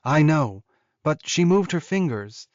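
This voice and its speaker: A man's voice: a little high, sing-song, measured pace, dry recording, dull audio, pitched above the performer's own voice. A man voicing an eager, devoted young female werewolf, earnest and emotive, in audiobook dialogue. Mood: hopeful, eager.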